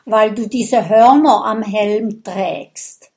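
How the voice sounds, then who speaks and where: A woman's voice: medium pitch, extremely slow, a little mellow. A woman voicing a sensible young boy who talks plainly and reasons things out. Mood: reasoning.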